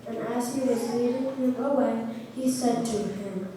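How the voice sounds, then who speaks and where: A woman's voice: a little high, a little slow. A woman narrating a storybook tale aloud for a puppet show in a big, echoey room. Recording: very echoey hall, far mic, faint noise.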